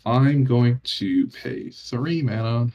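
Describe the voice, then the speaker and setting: A man's voice: medium pitch, gravelly, dark tone, highs cut off. Man musing through his card-game turn. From New Jersey. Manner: talks through his moves with drawn-out pauses. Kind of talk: casual chat during a livestreamed card game.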